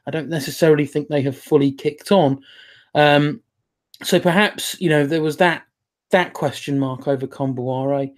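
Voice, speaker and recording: Man, football pundit, measured, hesitant, slightly high voice. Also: fairly even intonation. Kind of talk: casual football analysis.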